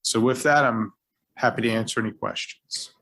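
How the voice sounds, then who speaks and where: A man's voice: mid-range voice, moderate pace, vocal fry, bright, forceful tone. A man who is the city attorney, briefing a city council on legal rules and walking through hypothetical examples. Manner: explanatory, uses hypothetical examples. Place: council chamber.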